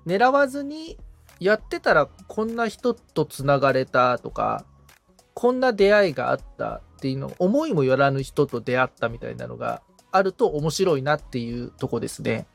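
Man, animated pitch, casual conversational style, agreeable, reflective, podcast assistant. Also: normal speed, vocal fry, no room sound, soft background music. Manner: agreeable, frequent backchannels, reflective. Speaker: podcast assistant, client being coached, guitar repairer.

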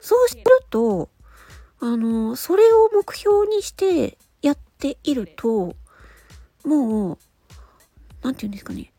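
A woman's voice: sits high, big pitch swings, slow pace, long pauses, dark tone, dry recording, soft background music. A woman hosting an audio show, explaining things step by step in a casual, polite way.

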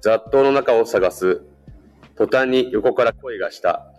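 Medium-pitched male radio drama narrator. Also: unhurried, faint music behind.

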